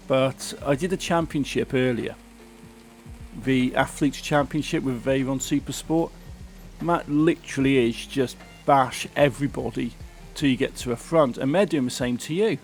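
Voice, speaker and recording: A man talks through a racing game in a relaxed, chatty commentary. His voice is croaky and gravelly from a sore throat. Faint game music plays underneath. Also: mid-range voice. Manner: chatty, grumbling about the game. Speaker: gaming channel host. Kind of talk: casual gameplay commentary.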